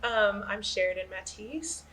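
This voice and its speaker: A woman's voice: medium pitch, expressive, a little slow, a loud crowd. A woman theater student from Texas introducing herself briefly and plainly. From Texas, USA.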